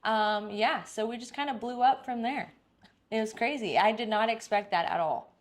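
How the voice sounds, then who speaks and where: A woman's voice: on the high side, normal speed. A woman in her thirties from Texas, a salon owner answering interview questions in a chatty, upbeat way with plenty of fillers. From Dallas-Fort Worth, Texas. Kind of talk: casual interview.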